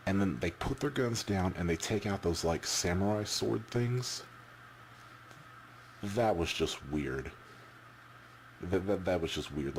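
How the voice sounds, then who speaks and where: Male vlogger, deep voice, halting unscripted chat with repeated words, noisy camera-mic recording. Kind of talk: casual off-the-cuff opinion chat.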